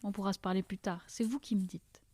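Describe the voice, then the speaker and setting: A woman's voice: medium pitch, fairly even intonation, brisk, darkish tone, husky, close to an everyday voice. A woman playing an enthusiastic nomadic seed-bearer, chatty and full of marvel, in an audio drama. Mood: pleased. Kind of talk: fiction podcast dialogue.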